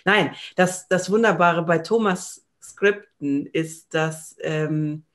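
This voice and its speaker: A woman's voice: deep voice, slow pace. Woman chatting enthusiastically in an interview. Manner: enthusiastic, playful. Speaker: voice actress, interview guest. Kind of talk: conversational interview. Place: small indoor room at home, video call.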